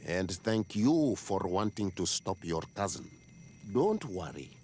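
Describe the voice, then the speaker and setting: A grave island leader in a video game. The voice is fairly low and very slow, deliberate and protective, with faint ambience behind. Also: male voice, sing-song, warm tone, a little hiss or hum. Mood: grave counsel. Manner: deliberate, solemn.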